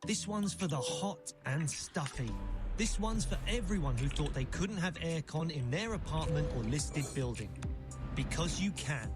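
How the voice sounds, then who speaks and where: A man's voice: fairly high pitch, animated, moderate pace, loud music behind the voice. Punchy male radio ad voice. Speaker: advert voice-over. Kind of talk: scripted advert.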